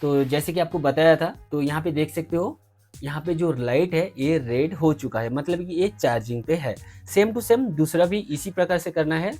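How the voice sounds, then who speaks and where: A man's voice: a little high, moderate pace, a little mellow. A man hosting a tech review, explaining a product step by step in an instructional, friendly-address style with repeated stock phrases. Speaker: tech review channel host. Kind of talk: step-by-step product demonstration to camera. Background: faint background music.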